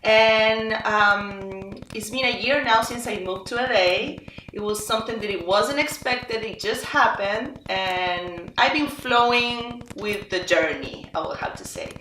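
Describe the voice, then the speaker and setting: A woman's voice: mid-range voice, pushed and bright. Enthusiastic Chilean woman in an echoey room. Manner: enthusiastic, speaks English as a second language with small slips. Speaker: guest, personal stylist. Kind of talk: casual interview conversation. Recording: echoey room with faint noise.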